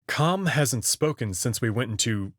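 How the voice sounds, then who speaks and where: A man narrating a first-person sci-fi story in a slow, measured reading. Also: medium pitch, lively intonation, no echo, studio quality.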